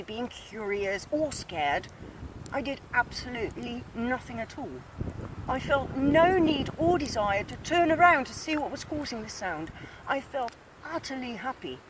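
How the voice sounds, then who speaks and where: Dutch-accented woman reading her own book outside, high voice, mic kinda far off, noisy outdoors. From Netherlands. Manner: earnest, measured reading with small slips. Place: outdoors in a snowy field. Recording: distant mic, outdoor noise.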